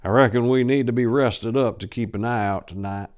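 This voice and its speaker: A wrinkled old farmer acted by a man reading aloud, weary and folksy. The voice is raised in pitch for a spooky storybook tale. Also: a little fast, a little mellow, clear, resonant tone, lightly acted.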